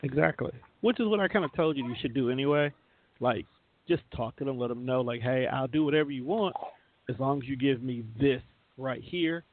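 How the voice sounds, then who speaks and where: A man's voice: medium pitch, fairly quick, dry recording. A man hosting a radio show in casual, conversational group chat, explaining things informally. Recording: narrow tinny phone-like sound.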